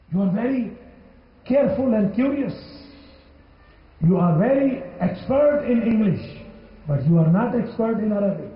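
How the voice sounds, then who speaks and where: A middle-aged man with a Pakistani accent, giving an earnest religious lecture in a mosque and repeating key phrases to press his point. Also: very high voice. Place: mosque hall. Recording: dull echoey hall recording.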